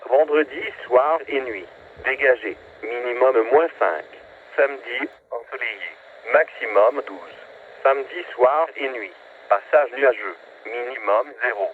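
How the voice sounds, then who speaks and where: A man's voice: mid-range voice, unhurried. A synthetic male voice reads scripted weather forecasts in clipped, impersonal phrases over a radio. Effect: radio transmission. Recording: narrowband, staticky weather radio broadcast.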